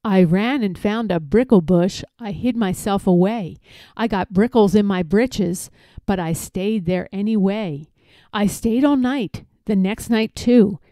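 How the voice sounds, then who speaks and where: A woman reading rhyming children's stories aloud in an expressive, theatrical storytelling manner. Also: mid-range voice, a little slow, dry recording. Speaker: storybook reader.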